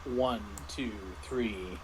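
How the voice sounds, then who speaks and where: A man in casual explanatory chat as a guest game master, speaking haltingly as he thinks aloud. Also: medium pitch. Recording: clearly noisy livestream audio.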